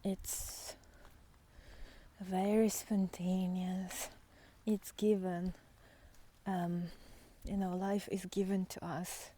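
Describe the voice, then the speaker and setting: A woman with a soft-edged, mellow voice talks at a slow pace as she walks outdoors. Her delivery is reflective and unscripted, with halting phrases that often sink into a breathy near-whisper. The pitch sits in an average range for a woman. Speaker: spiritual vlogger and guide. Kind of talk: unscripted reflective talk while walking in nature. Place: outdoors on a walk in nature.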